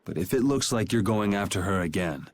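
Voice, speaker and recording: A man's voice: lowish voice, lively intonation, brisk, slight background noise, natural voice. A man voicing a laid-back, perceptive young hero in a video game, with a casual, wry manner. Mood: calm, probing.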